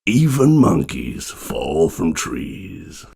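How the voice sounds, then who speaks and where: An actor playing a huge, proud animatronic villain in a video game, speaking solemnly in a deep, gravelly voice. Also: male voice, wildly swooping pitch, drawn-out words, over music, full character voice. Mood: cold disdain. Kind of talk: theatrical game villain lines.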